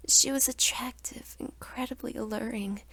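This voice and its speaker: A young woman narrating a scripted second-person ASMR story in a soft whisper. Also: a little high, fairly even intonation, moderate pace, slight background noise. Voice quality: whispery, breathy.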